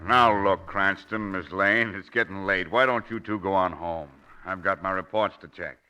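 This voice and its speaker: A middle-aged police commissioner, irritable and blustering. The voice is low and sharply forceful, in vintage 1940s radio style. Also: male voice, normal speed, muffled recording, soft background music. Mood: exasperated.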